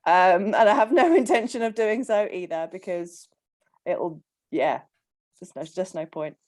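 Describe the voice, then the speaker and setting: A woman on a casual coaching call, croaky from illness, chatting with frequent ums and laughs. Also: medium pitch, lively intonation, measured pace, a little mellow, no echo, studio quality. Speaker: coaching client.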